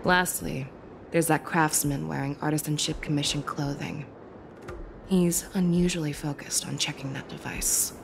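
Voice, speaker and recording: An actress voicing a calm, commanding female general who lays out strategy in a measured, lecturing way for a game cutscene. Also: low-pitched, vocal fry, background noise. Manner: measured, lecturing, hushed at times. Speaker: military general.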